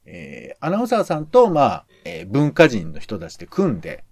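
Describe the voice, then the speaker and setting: A man's voice: lowish voice, huge pitch swings, brisk, a little mellow, slightly rough, no echo. A man co-hosting a podcast, giving conversational commentary on radio shows and thinking aloud as he goes. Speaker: podcast co-host.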